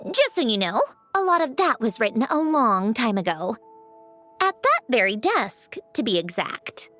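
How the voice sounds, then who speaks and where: A proud, self-assured female automaton from a video game. Her voice sits fairly high and moves with a very lively melody. She speaks briskly with a reflective streak, over faint music. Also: heavily acted. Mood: guarded. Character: female created automaton, wistful, bossy, proud, fond of puzzles. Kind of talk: English video game voice acting.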